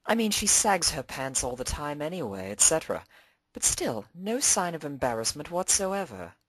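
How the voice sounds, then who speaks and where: A woman's voice: low-pitched, big pitch swings, normal speed, breathy edge, no room sound, full character voice, poor audio. A woman voicing a refined, prim female pony cellist with polite, formal phrasing, in a fan fiction story reading. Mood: puzzled, prim.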